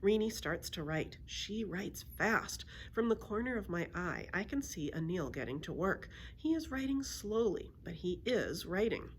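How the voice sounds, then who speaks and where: A woman's voice: mid-range voice, expressive, unhurried, hardly any pauses, a little hiss or hum. A woman reading a children's book aloud as a storyteller, expressively acting out the dialogue.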